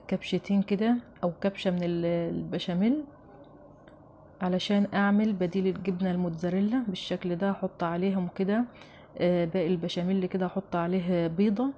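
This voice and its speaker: Mellow-voiced Egyptian woman explaining recipes. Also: medium pitch, slight background noise. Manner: chatty, addresses viewers familiarly. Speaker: home cooking show host. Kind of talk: casual step-by-step recipe narration.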